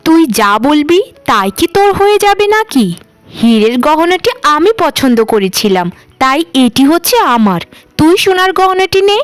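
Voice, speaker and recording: A woman acts out a vain, jealous landlord's daughter for a cartoon. The voice is very high and animated, scolding and sarcastic, recorded dry with music behind it. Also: moderate pace, dry recording. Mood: indignant. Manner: argumentative, sarcastic. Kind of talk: cartoon voice acting.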